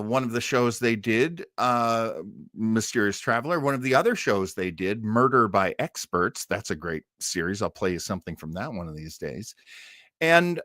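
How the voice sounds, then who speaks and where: Animated man hosting, dry close sound. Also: mid-range voice, no room sound. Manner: enthusiastic, chatty, rambling. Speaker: host of a live vintage radio show stream. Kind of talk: casual informal commentary, reading live comments.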